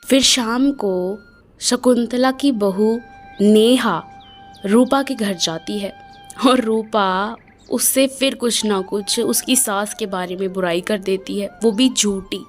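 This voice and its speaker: A woman narrating a storybook tale in a plain, unhurried way. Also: mid-range voice, faint music behind.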